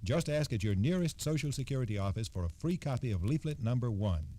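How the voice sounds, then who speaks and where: Very dark-toned 1960s radio spokesman. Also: mid-range voice, expressive, fast talker. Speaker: Social Security spokesman guest. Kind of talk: scripted 1960s radio announcement.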